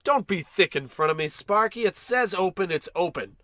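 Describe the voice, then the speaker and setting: An actor playing a bossy, pompous, short-tempered space captain in a scripted old-time radio comedy. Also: male voice, sits high, lively intonation, moderate pace, a bit bright, no room sound, studio quality. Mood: bullying. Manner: pompous, blustering, commanding.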